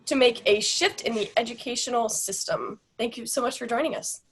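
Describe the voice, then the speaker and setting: A woman's voice: a little high, brisk. A woman moderating an online panel over a video call, reading the guest introductions in an upbeat way.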